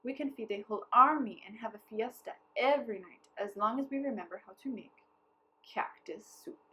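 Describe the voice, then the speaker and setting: A woman's voice: a little high, normal speed, pushed and bright, rough recording. A woman voicing a proud, easygoing male small-town mayor in a children's story, speaking emphatically. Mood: confident.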